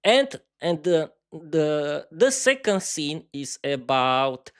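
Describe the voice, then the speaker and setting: A man with a Slovak accent, a native Slovak speaker, hosting a casual live-stream podcast in a halting way with many restarts. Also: a little high, big pitch swings, a bit bright, clear, resonant tone. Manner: halting, many restarts and fillers, talks to himself by name. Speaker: podcast host.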